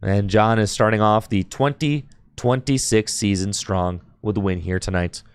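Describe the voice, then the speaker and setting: A man with a hoarse voice, calling a card game tournament stream in measured play-by-play. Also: fairly low pitch, dry recording. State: hoarse, losing his voice.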